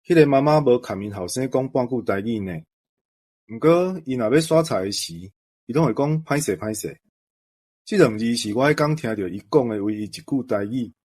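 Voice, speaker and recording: A man's voice: mid-range voice, animated, normal speed, darkish tone. A man with a Taiwanese accent talking conversationally as a co-host. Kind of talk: conversational discussion.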